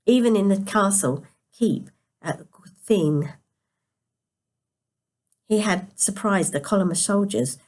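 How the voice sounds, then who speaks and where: A woman narrates a novel in a slightly low register. Her voice is quiet and tired, as if sleepy. She reads carefully, now and then tripping on a word and picking it up again. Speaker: storyteller reading a novel aloud. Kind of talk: scripted story narration.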